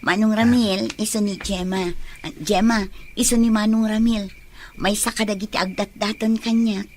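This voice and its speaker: A woman with a Filipino accent playing a kind, hospitable young working woman in an Ilocano audio drama, gentle and conversational. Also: medium pitch, fairly even intonation, moderate pace, slight background noise, close to an everyday voice.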